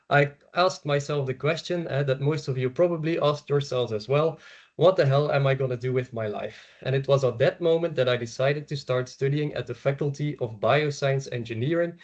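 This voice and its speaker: A young man in his twenties tells his story as a former student, in a prepared talk with wry, light humor. His pitch sits in a middle register. The recording sounds a bit dull and lo-fi. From Belgium. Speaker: alumnus speaker. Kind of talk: prepared presentation.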